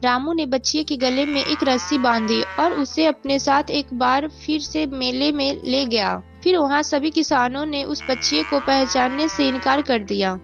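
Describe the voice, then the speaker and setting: A woman with a very high voice narrates a children's bedtime tale from a script. Soft music runs behind her. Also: measured pace, vocal fry, hardly any pauses, muffled recording.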